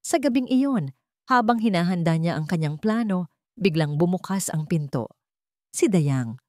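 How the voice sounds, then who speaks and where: A woman's voice: on the low side, animated, a little slow, a little mellow, no room sound, studio quality. A woman reading a dramatic story as a scripted narration.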